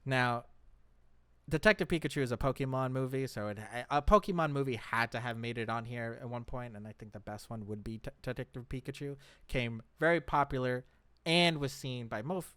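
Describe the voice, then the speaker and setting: A man's voice: medium pitch, brisk, a bit bright, smooth, no room sound. A man hosting a podcast in a casual, conversational style. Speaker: podcast host. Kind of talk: casual podcast discussion of movies.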